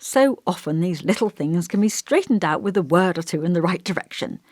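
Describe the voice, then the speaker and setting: An elderly village vicar from an audiobook, acted out by a woman, kindly and long-winded. The speech is genteel and old-fashioned, a little pompous, and musing. The pitch moves around freely, and the sound is dry. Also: animated, a little fast, a little mellow, no echo. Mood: earnest, reproving. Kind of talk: audiobook character dialogue.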